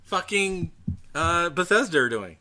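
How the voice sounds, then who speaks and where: A man chatting casually as a podcast host, ranting in an animated, profane way. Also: high voice, a little slow, a loud crowd. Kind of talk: casual chat about gaming news.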